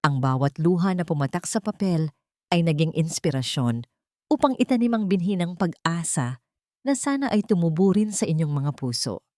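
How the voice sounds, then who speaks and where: A Filipino woman narrates a dramatic story in a fairly low voice. Her delivery is measured and earnest, with a literary polish. The recording is dry and close, with little room sound. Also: big pitch swings, darkish tone, dry recording.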